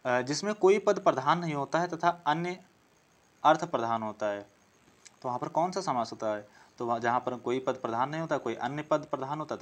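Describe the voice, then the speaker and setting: Male teacher, medium pitch, exam-prep lesson, explanatory, rhetorical questions, repeats key phrases. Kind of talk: exam-prep Hindi grammar lesson, working through questions.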